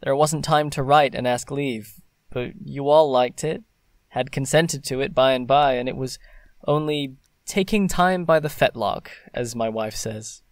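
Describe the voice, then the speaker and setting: An actor playing a playful, proud young newlywed gentleman in an audio drama, with wry formality. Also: medium pitch, measured pace, no echo, close to an everyday voice.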